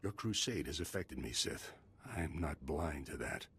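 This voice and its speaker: An actor playing a grave, proud older Jedi Master in a video game, solemn, measured and raspy. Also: male voice, extremely low pitch, expressive, a bit bright, exaggerated voice. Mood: grim resolve.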